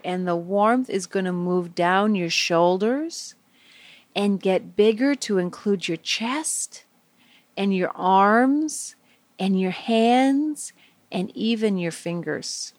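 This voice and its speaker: A woman leading a guided visualization for young children, speaking in simple, soothing phrases with gentle pauses. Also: mid-range voice, dry recording.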